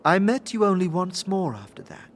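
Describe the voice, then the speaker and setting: A man playing a long-lived, mysterious female being in a fantasy audio drama, speaking in a formal, halting, wistful and guarded way. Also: high voice, sing-song, slight background noise. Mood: grave, sorrowful. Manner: stately, halting, formal, interjecting own name.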